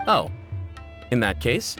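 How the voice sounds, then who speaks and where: A man's voice: medium pitch, big pitch swings, takes it slowly. A man playing a jovial, teasing mercenary in a video game, with a joking, informal manner. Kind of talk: video game character acting. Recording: game music behind the voice.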